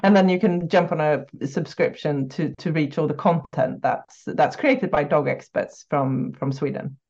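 A woman guest on a podcast, answering quickly with frequent "uh" fillers. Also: low voice, darkish tone, husky, highs cut off. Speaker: podcast guest, dog behaviour consultant. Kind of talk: casual interview answers.

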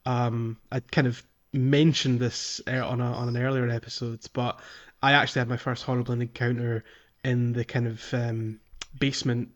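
A man with a medium-pitched voice chats as a podcast co-host about games. He rambles thoughtfully and hedges as he goes. The audio is a little dull. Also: flat delivery, moderate pace, few pauses. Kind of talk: casual gaming podcast chat.

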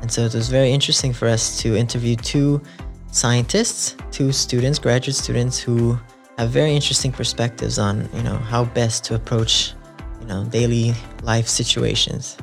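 A man's voice: medium pitch, level tone, moderate pace, creaky, soft background music. Chatty upbeat male podcast co-host. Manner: upbeat, chatty, frequent fillers like "you know" and "like". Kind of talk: casual podcast chat.

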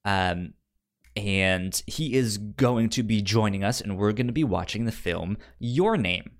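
A man's voice: fairly low pitch, animated, measured pace. A man co-hosting a podcast in casual film discussion, stammering and repeating syllables and words as he talks. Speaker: podcast co-host.

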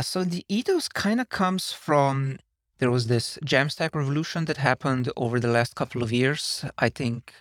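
A man from Slovenia, a software engineer guesting on a podcast, who talks casually and wanders off on tangents. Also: mid-range voice, no room sound, studio quality. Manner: rambling, self-deprecating, digressive.

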